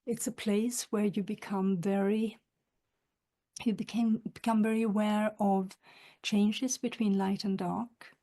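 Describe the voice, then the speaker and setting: A woman from northern Sweden, an author giving a reflective webinar talk, soft-spoken and halting with many fillers, over a video call. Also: mid-range voice, little pitch movement. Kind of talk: reflective lecture with read quotations.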